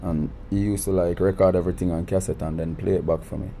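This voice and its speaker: A man's voice: low voice, steady tone, fast talker, creaky, mellow, slight background noise. A Jamaican man in his thirties talking casually in an interview, often repeating words as he starts a sentence. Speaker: guest artist. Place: recording studio.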